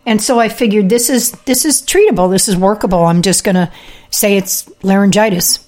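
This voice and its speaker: A woman hosting her own podcast, talking casually with fillers and throat clearing, telling her own experience. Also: medium pitch, brisk, a little mellow. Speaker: podcast host; health practitioner with patients. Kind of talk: casual monologue.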